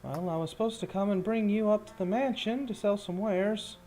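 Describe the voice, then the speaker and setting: Game master doing little halfling messenger guy, higher voice, polite n hesitant, tabletop game, bit of music behind. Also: dark tone, natural voice, poor audio. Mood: polite, uncertain. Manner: hesitant, formal, halting.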